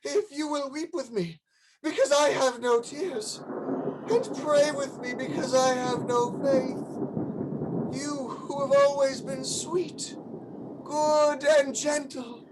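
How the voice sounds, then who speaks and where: Ancient male ghost for radio drama, played by a woman: high-voiced, slow, solemn, pleading, over faint noise. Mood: pleading, sorrowful. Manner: slow, grave, archaic phrasing. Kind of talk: radio play acting.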